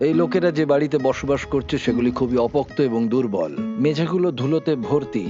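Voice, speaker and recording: Man playing earnest male charity organizer, documentary dub, quick delivery, dull audio, background music. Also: medium pitch, expressive, natural voice. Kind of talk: dubbed documentary commentary. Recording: muffled audio under music.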